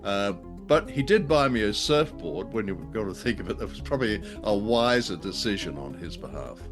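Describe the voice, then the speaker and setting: Middle aged guy with a low voice chatting on a podcast, kinda halting, soft music behind. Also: animated. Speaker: podcast co-host. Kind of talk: casual scripted-topic chat.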